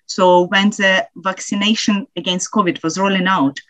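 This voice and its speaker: A woman's voice: medium pitch, steady tone, moderate pace, fairly bright tone, dry recording. Ukrainian-accented woman, hesitant and thoughtful. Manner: thoughtful, hesitant with many fillers. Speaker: guest researcher. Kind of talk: panel discussion.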